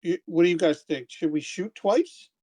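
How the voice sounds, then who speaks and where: A player thinking aloud about tactics in a tabletop game, deliberate and questioning. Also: mid-range voice, dark tone. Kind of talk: casual tabletop strategy talk.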